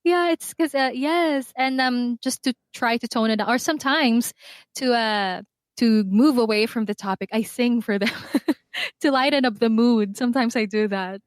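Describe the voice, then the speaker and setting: Soft-spoken woman, dry and close. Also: fairly high pitch, normal speed, no room sound. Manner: soft-spoken, hesitant, laughs lightly. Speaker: live room host.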